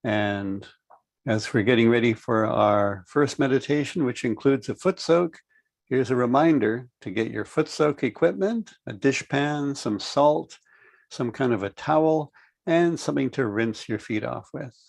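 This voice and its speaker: Man, middle-aged, calm measured host, video call, dry sound. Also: mid-range voice, creaky, no echo. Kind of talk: friendly online class introduction.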